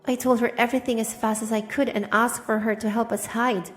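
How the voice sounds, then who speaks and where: A woman with a high voice delivers dramatic first-person story narration. Her voice is soaked in long reverb. Music plays underneath. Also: flat delivery, brisk.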